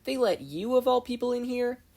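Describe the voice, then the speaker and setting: A performer voicing a brash, self-important, excitable teenage girl inventor in a story reading. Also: medium pitch, moderate pace, gravelly, pitched above the performer's own voice. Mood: exasperated. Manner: loud, exasperated, boastful.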